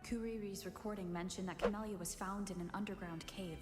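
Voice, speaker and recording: A woman's voice: mid-range voice, fairly even intonation, a little fast. An actress playing a video game character's inner thoughts, with an echoing effect on her voice. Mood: pensive. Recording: echoey long reverb under very loud music.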